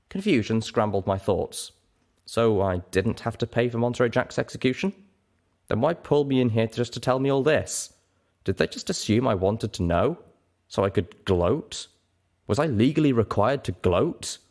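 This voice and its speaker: A man reading a scripted first-person story aloud as narrator, with expressive, dramatic delivery. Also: on the low side. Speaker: narrator of a fan fiction reading show.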